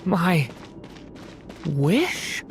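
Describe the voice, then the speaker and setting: A woman voicing a fragile, lost young boy in a game, speaking haltingly and plaintively. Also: fairly low pitch, very animated, over music, exaggerated voice. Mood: anxious. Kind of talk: dramatic game voice acting.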